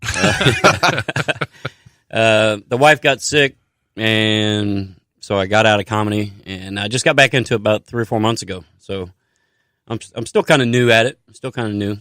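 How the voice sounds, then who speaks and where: A man who is a comedian, a guest on a radio show, talking in a relaxed, self-deprecating way about his sets. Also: fairly low pitch, normal speed, no echo, studio quality. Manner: self-deprecating, laid-back. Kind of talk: casual radio interview. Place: radio studio. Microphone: studio mic.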